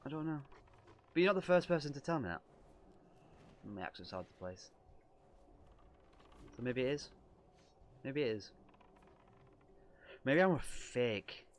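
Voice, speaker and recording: A man's voice: medium pitch, sing-song, measured pace, long pauses. A young man with a Lancashire accent, a streamer chatting casually and reading viewer questions aloud, self-deprecating and rambling. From Lancashire, England. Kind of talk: casual livestream chat answering viewer questions.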